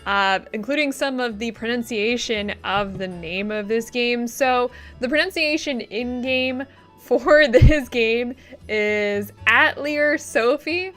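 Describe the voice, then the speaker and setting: A woman's voice: on the high side, slow. A woman hosting a let's play, giving casual game commentary. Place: voice-over on game capture. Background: game music.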